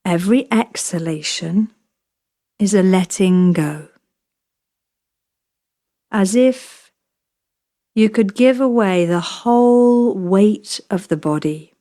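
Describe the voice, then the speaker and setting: A woman yoga nidra teacher leading a guided relaxation meditation in a soothing, measured way, leaving long pauses between short phrases. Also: mid-range voice, animated, dark tone.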